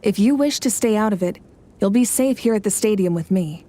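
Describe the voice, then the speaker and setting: Composed female leader for a game actress, mid-range voice, regal, measured, authoritative and reassuring. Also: mid-range voice, animated, dark tone, lightly acted. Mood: grave, resolute. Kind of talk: video game dialogue acting.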